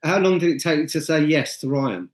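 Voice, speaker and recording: A man's voice: a little high, lively intonation, fairly quick. A man co-hosting a podcast, ranting bluntly and swearing freely in casual chat. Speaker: podcast co-host. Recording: echoey room.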